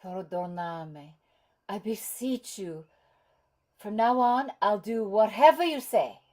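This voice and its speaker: A headstrong young daughter, played by a woman in a table read of a Shakespearean-style play, putting on dutiful penitence. The delivery is slow and deliberate, at a middle pitch. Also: a little mellow, natural voice. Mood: penitent, pleading. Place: indoors, on a video call.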